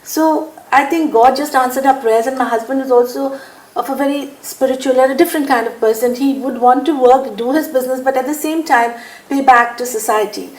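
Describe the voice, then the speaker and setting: A woman's voice: high-pitched, fairly even intonation, a little fast, a little mellow, reverberant, rough recording. An older woman from India talking at an easy pace in an interview, laughing often and using lots of fillers. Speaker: interviewee, businesswoman. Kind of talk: relaxed conversational interview.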